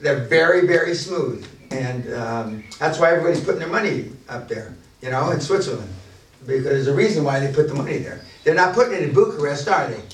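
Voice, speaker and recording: A man's voice: a little high, lively intonation, brisk. A man leading a business seminar, talking bluntly and boastfully with plenty of profanity and restarts. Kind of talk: unscripted seminar talk to a room. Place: large echoey room at a seminar venue. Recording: echoey room, distant mic.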